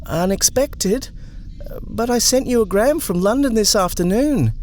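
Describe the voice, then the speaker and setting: A polite young woman in a storybook, pronouncing each word distinctly. Her voice sits high and bright, a man putting her on with a raised pitch. An edge of impatience stays close under her courtesy. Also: lively intonation, measured pace, slight background noise. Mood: polite, earnest. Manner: distinct, careful speech.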